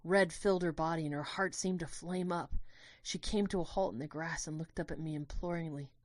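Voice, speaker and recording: Woman w a really low voice reading an audio drama story, measured n expressive, super dry close sound. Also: dry recording. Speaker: narrator. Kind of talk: dramatic scripted first-person story narration.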